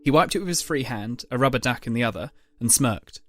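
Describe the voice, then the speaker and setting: A man's voice: mid-range voice, expressive, fairly quick, a bit bright. A man reading scripted, dramatic story narration as the narrator.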